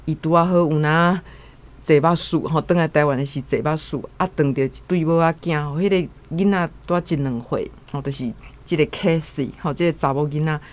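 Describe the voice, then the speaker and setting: A woman's voice: sits low, fairly quick. A woman with a Taiwanese accent casually retelling a story as a guest commentator, acting out quotes.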